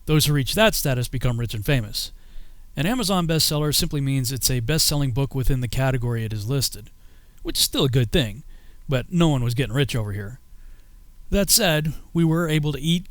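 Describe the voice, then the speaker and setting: A man's voice: medium pitch, a little fast, dry recording. American man narrating, close dry sound. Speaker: filmmaker and author narrating his own journey. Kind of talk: scripted first-person documentary narration.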